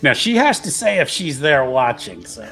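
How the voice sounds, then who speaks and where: Lively man with swooping intonation. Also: mid-range voice, moderate pace, vocal fry, faint music behind. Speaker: player in a tabletop roleplaying game. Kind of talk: casual game chat.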